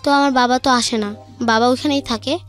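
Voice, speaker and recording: Young female guest, high voice, Bangladeshi accent, informal personal storytelling over faint music. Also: steady tone, fairly quick. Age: young adult. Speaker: guest telling her life story.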